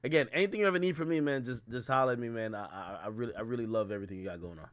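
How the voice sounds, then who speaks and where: A man's voice: medium pitch, racing. A man from Jamaica hosting a livestream, chatting casually in hip-hop slang, rambling and often stammering as he circles back over his words. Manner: rambling, repetitive, frequently stammering mid-thought. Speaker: livestream host interviewing guests by call.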